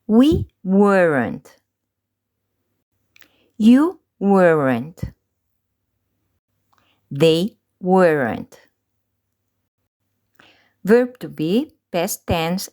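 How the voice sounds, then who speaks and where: A woman leads an English lesson at a crawling, drilled pace, each short phrase set apart. Her pitch sits a little low but swings widely from phrase to phrase, rising sharply on the questions. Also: darkish tone. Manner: slow, deliberate pronunciation drills with pauses. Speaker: English teacher. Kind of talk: slow drilled language lesson.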